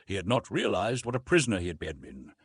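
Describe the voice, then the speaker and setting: A man narrates an adventure audiobook with wide, expressive swings of pitch. His voice is a little husky at a medium pitch, and the recording is close and dry. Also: brisk, no room sound. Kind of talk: scripted literary narration.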